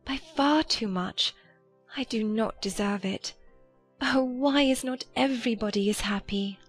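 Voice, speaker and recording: Woman acting a gentle, sweet young lady. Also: on the high side, unhurried, husky, faint music behind, pitched above the performer's own voice. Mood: overjoyed, tender. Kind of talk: dramatized audiobook of a classic novel.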